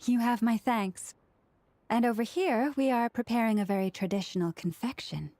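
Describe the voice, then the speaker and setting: An actress playing a calm, gracious young woman in a video game, speaking with measured, polite formality. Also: fairly high pitch, close to an everyday voice. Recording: music behind the voice.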